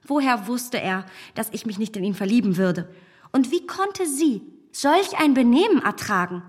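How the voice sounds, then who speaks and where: A self-assured young gentlewoman of a period radio play, quick to take offence. Her voice sits fairly high and rings in a long reverberant space. She speaks in eloquent, emphatic, formal sentences. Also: animated. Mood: indignant. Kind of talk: radio drama acting of a literary classic.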